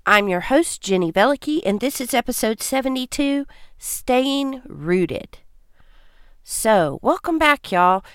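A woman's voice: mid-range voice, a bit bright, clear, resonant tone, dry recording, studio quality. A woman hosting a casual homesteading podcast, congested from allergies, chatting in a folksy, friendly way. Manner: folksy, chatty, says y'all. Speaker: podcast host.

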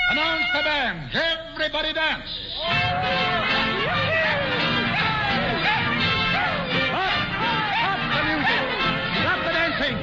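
An actor playing an aging, pompous male governor in a 1940s radio musical, singing slowly and then barking orders. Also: very high voice, full character voice. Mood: blustering. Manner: slow crooning singing, then blustering commands. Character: aging pompous male colonial governor courting a young maiden. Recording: dull vintage radio broadcast with loud orchestra.

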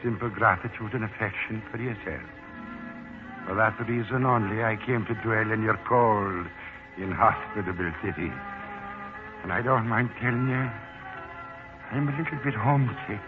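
A low-pitched Irish leprechaun acted by a man from a radio play, sly and posing as a manservant. He talks in wordy, mock-formal phrases with wounded dignity. The old broadcast is tinny and narrow, with music in it. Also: moderate pace, pauses often, natural voice. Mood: dignified, wry. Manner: wordy, mock-formal, flustered when cornered. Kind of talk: 1940s radio acting.

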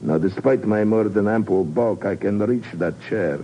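A man playing a low-voiced, silkily menacing spy. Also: normal speed, mellow, close to an everyday voice. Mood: quiet menace. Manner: silky, pompous, chuckling politeness. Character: suave, menacing, heavy-set male spy, smugly courteous. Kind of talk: 1950s radio drama acting. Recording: muffled, noisy 1950s radio recording.

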